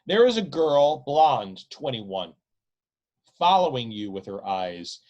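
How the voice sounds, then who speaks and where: A man reading his own novel aloud as literary narration, in a deliberate, dramatic manner with drawn-out, emphatic phrasing. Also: medium pitch, very animated, a little mellow, lo-fi sound.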